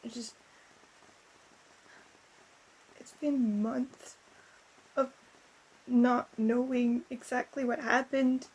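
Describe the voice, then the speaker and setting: A woman playing a distraught, lonely young female ghost in an emotional audio roleplay, speaking haltingly with stammered repetitions. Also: on the high side, level tone, a little mellow, breathy edge, poor audio. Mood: apologetic, sad.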